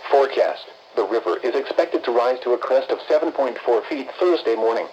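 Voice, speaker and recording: Over a dull, noisy radio broadcast, a synthetic male voice of medium pitch reads official weather alerts. The delivery is impersonal and formal, moving through short, clipped phrases as an automated announcer would. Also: expressive, a little mellow. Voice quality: synthetic text-to-speech voice. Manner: impersonal, evenly measured, clipped list-like phrasing. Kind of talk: formal scripted emergency weather bulletin.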